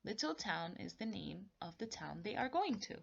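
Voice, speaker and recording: A woman teacher leading a read-along story lesson for young children, explaining things slowly and deliberately with repetition. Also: mid-range voice, sing-song, gravelly, dull audio, a little hiss or hum. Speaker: teacher reading aloud.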